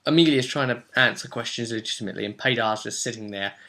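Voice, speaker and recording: A man hosting as game master, casually recounting a role-playing session with emphatic, animated storytelling. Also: medium pitch, quick pace. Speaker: game master and vlog host.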